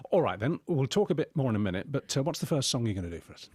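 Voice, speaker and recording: A man's voice: mid-range voice, big pitch swings, racing, a little mellow, breathy edge, no room sound, studio quality. A man hosting a chatty live radio interview in a jokey, rambling, conversational way. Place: radio studio. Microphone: close studio microphone.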